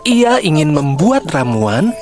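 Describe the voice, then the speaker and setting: A woman's voice: sits low, very animated, slow. A woman narrating a scripted children's story as a storyteller. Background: faint music.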